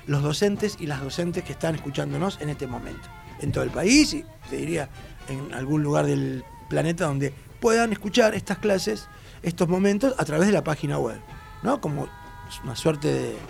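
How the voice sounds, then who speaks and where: A man with an Argentine accent hosting an educational radio talk, rambling and animated, often repeating himself. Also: a little high, creaky. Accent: Argentine Spanish. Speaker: radio host.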